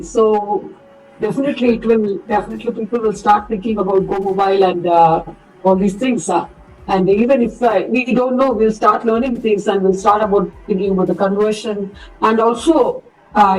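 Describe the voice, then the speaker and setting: A woman's voice: a little high, a little mellow. A woman speaks off the cuff as a conference moderator, in hesitant, wordy and courteous phrasing. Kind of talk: unscripted formal online conference remarks. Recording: distant microphone.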